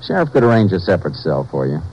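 Unflappable American Ranger, deep mellow voice, clipped lines; vintage radio detective drama. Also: male voice, racing, slightly rough, heavily acted. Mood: firm. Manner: terse, steady, matter-of-fact. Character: calm, firm male Texas Ranger investigator. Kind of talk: 1950s radio drama acting and narration. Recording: muffled old radio recording, faint music.

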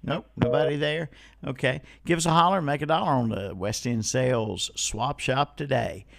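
A man's voice: mid-range voice, normal speed, gravelly, no room sound. A man hosting local radio and reading ads in a folksy manner. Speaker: radio swap shop host and ad reader. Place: radio studio.

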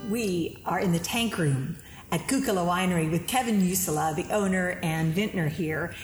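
A woman's voice: lowish voice, normal speed, soft background music. A woman co-hosting a casual interview, gushing with praise. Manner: effusive, gushing. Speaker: podcast co-host. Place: winery tank room. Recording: roomy echo, faint ambience.

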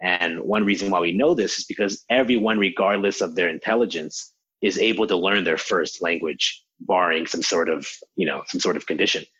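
A man, a language teacher, answering interview questions in an animated way and acting out quotes. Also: low voice, brisk, breathy edge, dull audio. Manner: animated explainer, acts out quotes. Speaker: language teacher, podcast guest.

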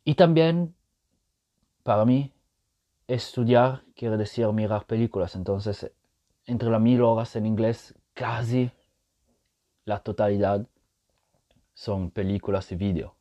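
A man's voice: on the low side, slow, pauses often, mellow, dull audio. A man hosting a casual solo podcast, explaining his point patiently and often checking in with the listener, speaking Spanish as a learned language. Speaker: podcast host.

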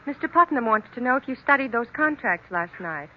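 Polite, husky-voiced office secretary. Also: female voice, a little high, lively intonation, brisk. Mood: polite, efficient. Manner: polite, businesslike. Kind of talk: 1940s radio drama acting. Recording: muffled vintage radio recording.

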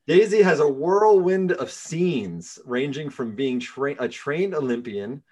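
A man hosting a casual interview podcast, relaxed, with many fillers, going soft and quiet on serious topics. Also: fairly high pitch, slow pace. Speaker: podcast host.

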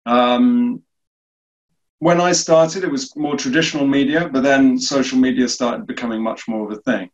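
A man's voice: medium pitch, moderate pace. British man, dull lo-fi sound. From United Kingdom. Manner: relaxed, many fillers and restarts. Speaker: guest. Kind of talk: casual interview answers.

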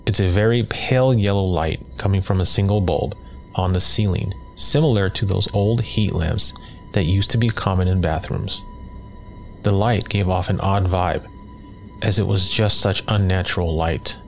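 A man reading a first-person scary story as a scripted narration, in a measured, matter-of-fact way. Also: low-pitched, faint music behind. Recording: clear background noise.

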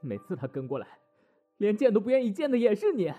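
A man's voice: high-pitched, very animated, quick pace, warm tone, soft background music. A man playing a proud, wounded ex-boyfriend in an audio drama, emotional and accusing. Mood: angry, scornful.

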